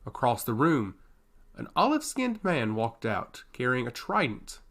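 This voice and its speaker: Expressive man narrating a fantasy story. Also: mid-range voice, unhurried.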